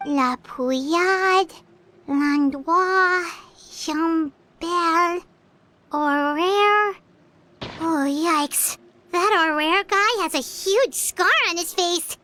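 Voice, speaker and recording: An actress voicing a tiny, excitable female fairy companion in a video game, squeaky, childlike and expressive. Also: very slow, exaggerated voice. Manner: chatty, animated, expressive.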